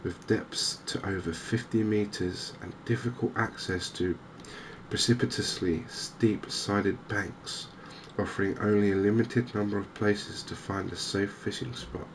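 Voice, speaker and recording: English male, very low even voice, soft-spoken cozy gaming ASMR, clear ambient noise behind. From England. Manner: soft-spoken, gentle, unhurried. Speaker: gaming channel host. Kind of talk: softly spoken cozy ASMR game commentary.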